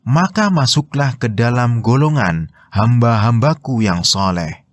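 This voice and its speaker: A man with an Indonesian accent reading scripted religious commentary in a measured, explanatory way. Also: medium pitch, lively intonation, no echo. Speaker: narrator of Quran translation and commentary.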